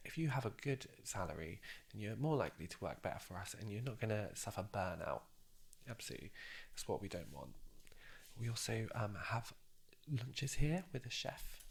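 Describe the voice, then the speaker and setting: Soft, husky, creaky man, ASMR. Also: fairly low pitch. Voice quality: soft, breathy voice with whispery moments. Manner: hesitant, gently encouraging. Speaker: ASMR performer playing a job interviewer. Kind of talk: soft-spoken ASMR roleplay.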